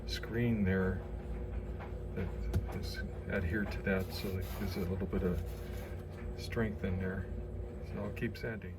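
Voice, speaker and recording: A man giving a casual hands-on demonstration, halting and unhurried as he thinks aloud. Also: low voice, fairly even intonation. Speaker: vlogger restoring an old radio. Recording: clear background noise.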